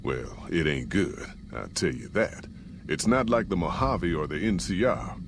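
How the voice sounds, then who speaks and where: An American actor playing a gruff, folksy caravan boss in a post-apocalyptic video game, with plain-spoken frontier drawl. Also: male voice, sits low, lively intonation, unhurried, background noise. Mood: grim, warning. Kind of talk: scripted video game character dialogue.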